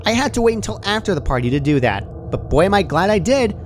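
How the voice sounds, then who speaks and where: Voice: medium pitch, sing-song, quick pace, a little hiss or hum, pitched above the performer's own voice, close to an everyday voice. A performer voicing a cheerful but deranged female party pony in a story reading, bubbly and sweetly menacing. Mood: gleeful. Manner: giggly, bubbly, casually chiding.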